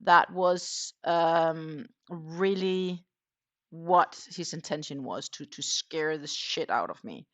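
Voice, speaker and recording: A woman's voice: low voice, takes it slowly, dull audio. Chatty woman rambling with ums. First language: not German. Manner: chatty, rambling, frequent um fillers. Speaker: crochet channel host. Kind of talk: casual livestream chat while crocheting.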